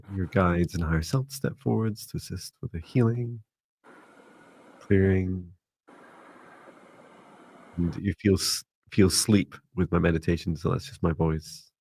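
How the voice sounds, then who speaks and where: A man with a deep, steady voice that stays in one even tone, giving a slow, meditative psychic reading on a livestream with many fillers. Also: creaky, slight background noise, rough recording. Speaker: psychic mentor livestream host.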